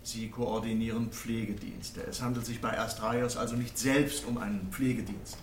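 An actor playing a sarcastic, needling public prosecutor who questions with formal, pointed irony in a radio drama. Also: male voice, medium pitch, fairly even intonation, moderate pace, natural voice. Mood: sly, probing. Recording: echoey courtroom with clear background noise.

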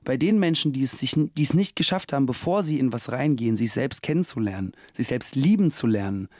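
A man's voice: medium pitch, expressive, brisk, a little mellow, no room sound, studio quality. A man in his late twenties from Russia, a guest talking casually and reflectively, laughing often and using many fillers. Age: late 20s. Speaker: guest, actor and musician. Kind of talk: casual interview talk.

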